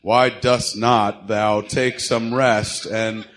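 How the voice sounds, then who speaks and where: A man playing an exasperated, sarcastic henchman who placates his boss, casual and halting, in an amateur audio drama. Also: fairly low pitch, little pitch movement, a bit bright. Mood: placating. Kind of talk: amateur radio drama acting.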